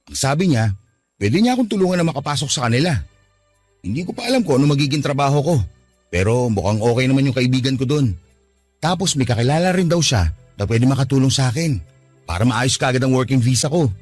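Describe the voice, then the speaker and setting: A man's voice: medium pitch, animated, fast, darkish tone, soft background music, close to an everyday voice. An actor playing a devoted young man in a Tagalog radio drama, who earnestly sweet-talks his girlfriend.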